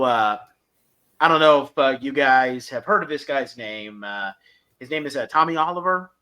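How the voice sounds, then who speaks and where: A man co-hosting a podcast, recapping and reviewing episodes casually in a halting, piecemeal way. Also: mid-range voice, sharp tone, low-quality recording. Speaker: podcast co-host.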